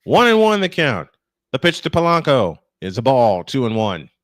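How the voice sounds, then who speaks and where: An American man with a mid-range voice works as a baseball announcer. He moves between pitch calls and casual, folksy storytelling, often repeating phrases. The sound is close and dry. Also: wildly swooping pitch, normal speed, fairly bright tone, dry recording. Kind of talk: play-by-play commentary mixed with casual chat.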